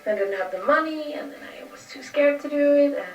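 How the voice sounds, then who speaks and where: A young woman speaking in a high, cutesy kawaii voice in an old vlog clip. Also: lively intonation, a little fast. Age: young adult. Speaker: vlogger. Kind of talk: bubbly vlog intro. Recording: echoey, distant, dull old vlog clip with music.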